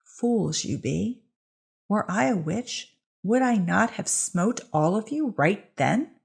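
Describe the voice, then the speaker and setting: Woman acting a defiant witch, husky and creaky. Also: medium pitch, expressive, slow pace, a little mellow, heavily acted. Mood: scornful. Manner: archaic, rhetorical speech. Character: defiant accused witch, fierce and indignant woman.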